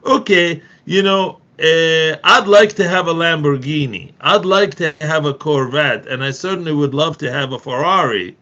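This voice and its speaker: A man's voice: on the high side, moderate pace, few pauses, sharp tone, lo-fi sound. A middle-aged Palestinian man in an earnest discussion, talking emphatically with frequent restarts and repeated phrases. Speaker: activist guest.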